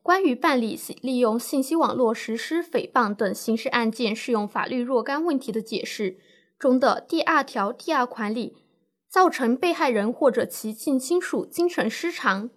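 A woman plays a lawyer advising a client in an educational radio drama. Her voice sits somewhat high, and she stays calm and reassuring as she lays out legal points in formal, explanatory phrasing.

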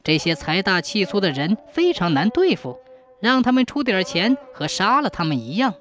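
A woman voicing a pompous, quick-tempered and calculating male official in a folk tale, with an imperious manner. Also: mid-range voice, sing-song, faint music behind, natural voice. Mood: scheming.

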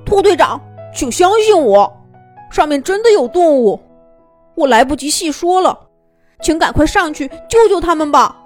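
A woman's voice: high voice, big pitch swings, moderate pace, faint music behind, voice pushed up. Woman voicing a frantic little bear cub. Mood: urgent pleading. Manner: urgent, pleading. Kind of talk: children's storybook reading.

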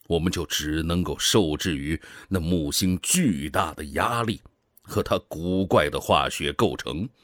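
An actor playing a grave, caring older space station director in a science fiction radio drama, speaking earnestly and with caution. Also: male voice, sits low, dry recording, clean studio sound, heavily acted. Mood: earnest persuasion.

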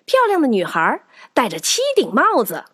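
Lively higher-voiced woman storyteller. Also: normal speed, slightly rough, no room sound, clean studio sound. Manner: expressive. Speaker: narrator. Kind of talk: children's audio drama storytelling. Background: music.

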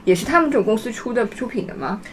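A woman's voice: fairly high pitch, lively intonation, rapid-fire, slight background noise. A woman hosting a casual podcast discussion.

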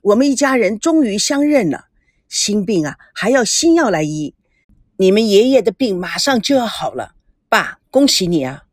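This is a joyful middle-aged son in a storybook, hearty and exclaiming, as a woman narrator does him. The voice is animated and the recording is dry. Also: mid-range voice, normal speed, dry recording. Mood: overjoyed.

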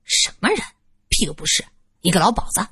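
A woman's voice: low voice, big pitch swings, a little fast, creaky, fairly bright tone, husky, dry recording, studio quality, pitched below the performer's own voice, heavily acted. A woman voicing an angry young male teacher who speaks bluntly and indignantly.

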